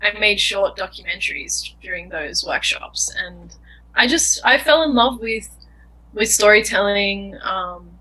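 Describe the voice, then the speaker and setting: A woman's voice: mid-range voice, pushed and bright, poor audio. A young woman filmmaker answering interview questions in an earnest, thoughtful way, often searching for words. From Australia.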